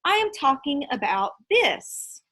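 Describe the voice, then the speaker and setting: A woman voicing a stern, shrewd female schoolteacher in a storybook, speaking with deliberate, clipped authority. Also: sits high, very animated, pushed and bright, pitched above the performer's own voice, exaggerated voice.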